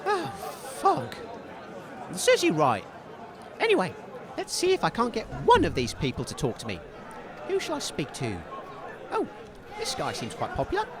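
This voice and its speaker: A man's voice: high voice, wildly swooping pitch, normal speed, pauses often. A man playing a hapless, eager radio presenter in a comedy, polite, hesitant and easily flustered. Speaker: radio host. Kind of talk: comedy roving-reporter interviews. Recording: outdoor crowd noise.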